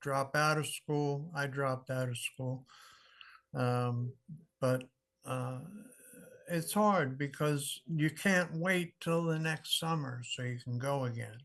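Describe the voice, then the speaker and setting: A man in his sixties, a guest in an interview, telling stories in a measured, reflective way. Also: mid-range voice, a bit bright. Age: 60s. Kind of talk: casual interview conversation.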